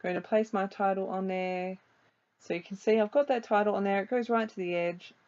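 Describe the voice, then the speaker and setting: A woman with a slightly croaky voice, casually narrating a voice-over for her craft video in a chatty way with frequent ums. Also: mid-range voice, steady tone, fairly quick, darkish tone, lo-fi sound.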